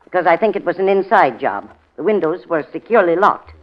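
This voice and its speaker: An actress playing an anxious, suspicious widow in a 1940s radio drama. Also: female voice, on the low side, expressive, a little fast, lightly acted. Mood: worried, suspicious. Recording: dull old 1940s radio recording.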